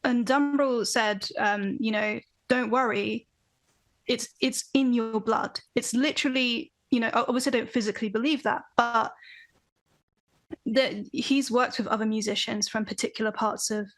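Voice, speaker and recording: Young woman guest, slightly high voice, animated and thoughtful, repeats herself as she thinks, webcam audio from a home room. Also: moderate pace. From Britain. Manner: thoughtful, animated, many restarts. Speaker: podcast guest, band vocalist. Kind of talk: casual interview chat. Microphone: video call, webcam.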